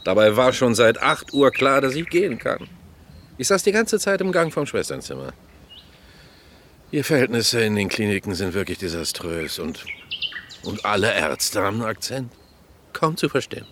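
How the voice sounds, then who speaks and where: An actor playing a bigoted, resentful IT worker in a radio crime drama, ranting in a smug, condescending way. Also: male voice, on the low side, sing-song, moderate pace, a bit bright, a little hiss or hum. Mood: smug, complaining. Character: bigoted, resentful male IT worker under police questioning. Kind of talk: naturalistic German radio crime drama acting.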